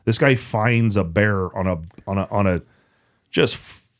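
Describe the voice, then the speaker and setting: A man's voice: on the low side, measured pace. A middle-aged man co-hosting a podcast, talking informally with folksy phrasing and the odd swear word. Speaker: podcast co-host. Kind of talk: casual podcast chat.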